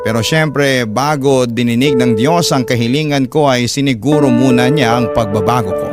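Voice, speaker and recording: A man reading a first-person letter as a radio story host, in a dramatic, heartfelt storytelling style. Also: mid-range voice. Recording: loud music under the narration.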